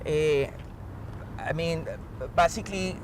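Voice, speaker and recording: A man's voice: fairly high pitch, fairly even intonation, slow. A man from Colombia with a Colombian accent and Spanish as his native language, explaining things earnestly as an interview guest. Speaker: professor and climate change expert, interview guest. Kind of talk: animated explanatory interview talk. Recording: outdoors, distant mic, clear traffic noise.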